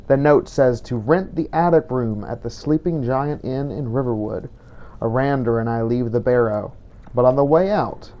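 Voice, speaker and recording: Man narrating a game roleplay in character, gravelly, mellow tone, measured and reflective. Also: mid-range voice.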